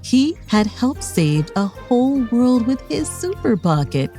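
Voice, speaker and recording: Animated woman narrating a children's story. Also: a little high, measured pace, soft background music. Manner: expressive read-aloud for young children.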